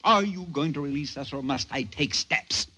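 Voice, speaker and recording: A man's voice: mid-range voice, lively intonation, normal speed, bright, forceful tone. An actor playing an exasperated, commanding rocket captain who insists on his sanity, in old-time radio drama style. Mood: indignant. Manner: commanding, indignant, emphatic.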